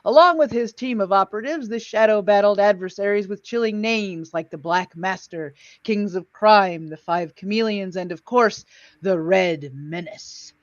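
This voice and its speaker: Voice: mid-range voice, moderate pace, dry recording, lo-fi sound. A host casually presenting and reading aloud to a live audience, drawing out dramatic words with theatrical emphasis. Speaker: host of an old-time radio show stream.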